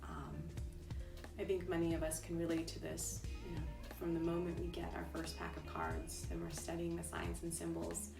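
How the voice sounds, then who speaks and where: A young woman talks in a soft, reflective way, her voice sitting slightly low. She is set back from the mic in a roomy space. Clear music plays behind her. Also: moderate pace, distant mic. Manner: soft-spoken, thoughtful, many ums and you-knows. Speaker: tarot reader and interviewee. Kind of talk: reflective personal talk.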